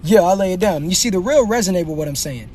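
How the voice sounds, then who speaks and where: Brash high-voiced man ranting on a livestream. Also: quick pace, slight background noise. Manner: brash, animated, slangy trash talk. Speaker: livestream host. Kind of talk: comedic livestream rant.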